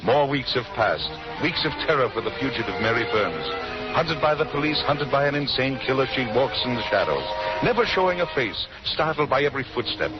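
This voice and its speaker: A male radio host narrates dramatically in measured phrases at medium pitch. The vintage recording is muffled, with loud music behind him. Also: animated.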